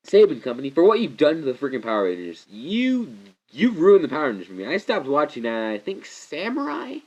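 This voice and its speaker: Congested young man rambling excitedly. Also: a little high, fairly quick. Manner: rambling, excitable, restarts sentences often. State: congested from a cold. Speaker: movie reviewer. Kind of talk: casual rambling movie review. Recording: slightly muffled lo-fi recording.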